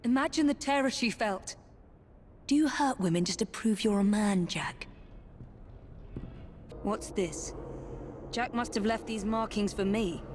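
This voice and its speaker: A woman's voice: medium pitch, a little slow, pauses often, darkish tone, slight background noise, close to an everyday voice. A woman playing a determined, vengeful young assassin in a video game, with an English accent and a grave, resolute delivery. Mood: grim resolve. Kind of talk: video game cutscene acting.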